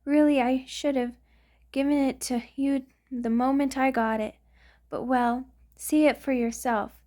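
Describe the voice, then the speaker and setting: A woman's voice: high voice, fairly even intonation, unhurried, dark tone. A woman voicing a centuries-old vampire lover in an intimate ASMR roleplay, doting and anxious, with a hesitant, sighing delivery. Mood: nervous, confessing. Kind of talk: ASMR romantic roleplay monologue.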